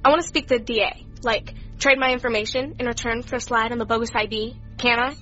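Sassy young female witness for an actress, high voice, cocky and sarcastic, audio drama, lo-fi sound with music under. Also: steady tone, fast, a bit bright, natural voice. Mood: sassy, defensive. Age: young adult.

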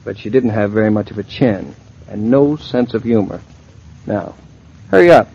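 An actor playing a wry young husband with a dry, bantering, affectionate manner, in 1940s radio drama style. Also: male voice, lowish voice, animated, normal speed, vocal fry, mellow, breathy edge, heavily acted. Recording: dull lo-fi old radio recording.

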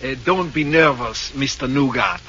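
A man playing an officious, excitable, pompous psychiatrist in an old radio sitcom. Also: mid-range voice, normal speed, natural voice. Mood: cautioning. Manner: fussy, pompous. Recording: noisy, muffled vintage radio recording.

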